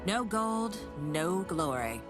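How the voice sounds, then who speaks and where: A cocky mercenary woman for a video game. Her voice sits low, and she speaks with dry sarcasm and easy dismissiveness. Clear music plays behind her. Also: big pitch swings, takes it slowly. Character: cocky, sardonic, money-loving mercenary woman warrior. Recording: background music under the voice.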